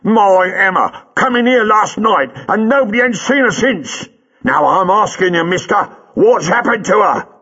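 A male narrator puts on a furious cab driver, pitched well above his own voice and shouting his demands in rough, broken grammar. The sound is dull and dry, like a close studio read. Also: lively intonation, normal speed, no echo, heavily acted. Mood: demanding. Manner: blunt, furious, dropped grammar. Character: angry working-class male cab driver.

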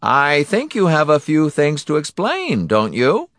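A man voicing a politely menacing male boss in an audiobook, indulgent and condescending, with drawn-out, mock-courteous phrasing. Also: on the high side, wildly swooping pitch, fairly bright tone, dry recording, studio quality, pitched above the performer's own voice, exaggerated voice. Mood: brisk, condescending. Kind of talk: audiobook character acting.

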